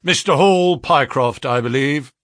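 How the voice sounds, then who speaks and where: A man voicing a brisk, sharp-talking middle-aged male financier in a read-aloud story, speaking eagerly and quickly. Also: mid-range voice, no room sound, clean studio sound.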